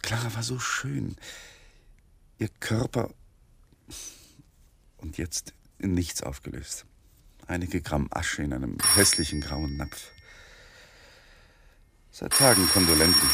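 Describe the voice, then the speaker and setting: An actor playing a troubled, self-pitying widowed lawyer in a radio crime drama, with an emotional, brooding manner. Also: male voice, deep voice, expressive, slow pace, gravelly, pauses often, very noisy, full character voice. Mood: grieving, bitter.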